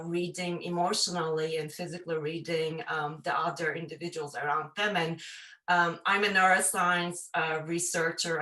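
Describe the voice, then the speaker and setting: A woman's voice: fairly low pitch, pushed and bright. A middle-aged woman, a parent and researcher speaking thoughtfully on a video call, with many fillers and restarts. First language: Turkish. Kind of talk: casual panel remarks. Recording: echoey room, distant mic.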